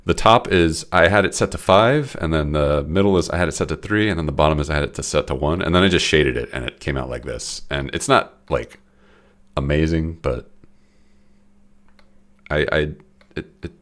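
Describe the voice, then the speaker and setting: A young man with a very low voice, audibly congested and stuffy. He rambles through a late-night coding stream in casual, self-deprecating talk full of restarts. He speaks into a desk mic at home. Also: moderate pace, fairly bright tone. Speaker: game developer streaming his work. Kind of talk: casual live-stream commentary.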